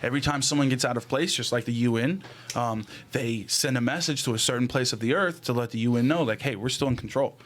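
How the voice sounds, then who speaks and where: A man's voice: medium pitch, quick pace. A young man chatting casually on a podcast mic, theorizing in an emphatic, slangy, profane way. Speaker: podcast co-host. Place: small indoor room. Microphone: podcast microphone on arm, headphones.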